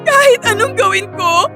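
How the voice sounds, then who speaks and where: A woman's voice: very high-pitched, heavily acted. An actress playing a hurt, fed-up young girlfriend in a radio drama, plaintive and accusing.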